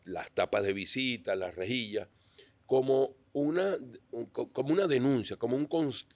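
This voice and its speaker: A man's voice: medium pitch, moderate pace, darkish tone, no room sound. A man hosting a podcast interview, earnest and restarting his words as he asks questions. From Venezuela. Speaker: podcast host.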